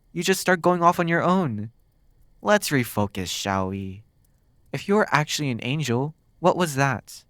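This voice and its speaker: Casting: male actor as a sardonic, flirtatious demon for ASMR roleplay, mid-pitched, intimate, teasing with lingering pauses, recorded close and dry. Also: fairly bright tone, no room sound, lightly acted. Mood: wry, exasperated, then shaken, questioning. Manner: teasing, sardonic, drawn-out words with dramatic pauses. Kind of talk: ASMR roleplay, intimate one-sided dialogue.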